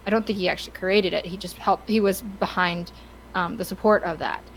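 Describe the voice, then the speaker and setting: A woman co-hosting a podcast, talking casually and with opinions in a halting, stop-start way as she searches for words. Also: mid-range voice, steady tone, a little hiss or hum, rough recording. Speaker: podcast co-host.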